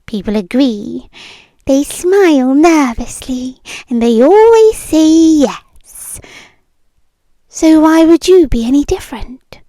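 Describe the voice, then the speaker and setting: A British woman playing a possessive, wealthy, menacingly sweet yandere in an intimate ASMR roleplay, drifting between soft deliberate speech and breathy whispers. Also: high voice, expressive, dry recording, full character voice, low-quality recording. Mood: smugly controlling. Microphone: close microphone, dry.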